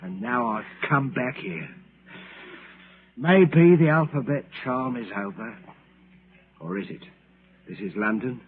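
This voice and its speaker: A man's voice: mid-range voice, expressive, breathy edge. A troubled, meek man, tinny old radio. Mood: feverish, menacing. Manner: agitated, pleading, halting. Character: lonely, troubled, meek man who believes himself a murderer. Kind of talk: old-time radio drama acting.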